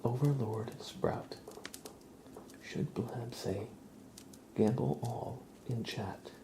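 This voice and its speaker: A man hosting an ASMR livestream, whispering softly and casually close to the microphone, drawing out and repeating words. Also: medium pitch, gravelly, background noise. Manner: soft, drawn-out, repeats words slowly.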